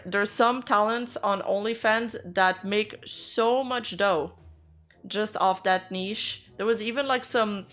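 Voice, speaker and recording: A woman's voice: mid-range voice, fairly bright tone. A woman VTuber streamer giving casual reaction commentary, blunt and sarcastic, swearing freely.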